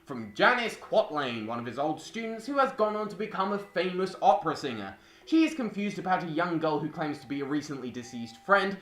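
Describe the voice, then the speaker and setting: Young adult, animated pitch, scripted review narration, roomy recording, music underneath. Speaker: video game film reviewer. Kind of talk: scripted video essay narration. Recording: roomy voice-over with music behind.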